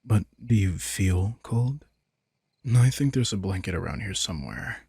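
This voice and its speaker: Man acting as a husky, low-voiced protective vampire king. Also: expressive, a little slow, dark tone, dry recording, studio quality, full character voice. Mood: soft, teasing. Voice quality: husky, gravelly. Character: young male vampire king, protective of his familiar. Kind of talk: intimate roleplay audio drama acting.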